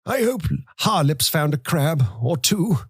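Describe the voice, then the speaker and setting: A frail, toothless old grandfather in an audiobook. His voice is a thin, cracked falsetto, high and husky, muttering and querulous as he rambles. The sound is close and dry. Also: expressive, a little slow, dry recording. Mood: greedy, doting. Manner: muttering, cackling, nostalgic. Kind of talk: audiobook character voice.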